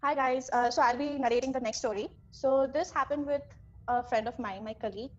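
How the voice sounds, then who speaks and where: A woman's voice: sits high, brisk, highs cut off. A young woman with an Indian accent casually retelling a story, acting out what people said, with music behind her. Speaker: guest storyteller, cabin crew.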